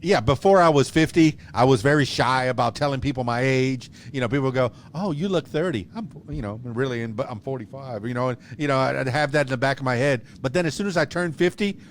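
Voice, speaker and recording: A man's voice: medium pitch, fast, hardly any pauses, a little hiss or hum. A man in his fifties hosting a podcast, chatting loosely and joking, often restarting his sentences. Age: 50s. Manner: rambling, jokey, with many restarts. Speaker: podcast host. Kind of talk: casual interview chat.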